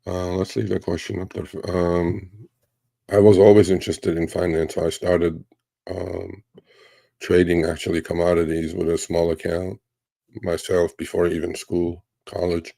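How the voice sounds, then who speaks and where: Deep gravelly man in his fifties. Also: normal speed, dry recording. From Turkey. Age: 50s. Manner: relaxed, rambling storytelling with many fillers. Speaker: livestream host. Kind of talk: casual livestream Q&A.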